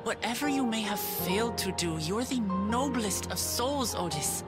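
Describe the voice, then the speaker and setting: An actress playing a gentle, sincere young woman adventurer in a fantasy game, speaking in a measured, consoling way. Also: medium pitch, expressive, loud music behind the voice, lightly acted. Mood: sincere, grateful.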